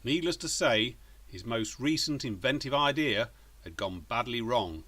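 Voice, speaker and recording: A man's voice: medium pitch, lively intonation, a little slow, a bit bright, husky. A man narrating a children's story as its author, in a scripted reading style.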